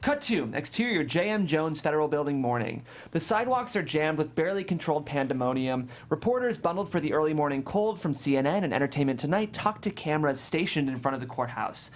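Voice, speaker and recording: A man's voice: fairly high pitch, lively intonation, fast talker, few pauses. A man reading scene descriptions and stage directions as scripted narration at a table read.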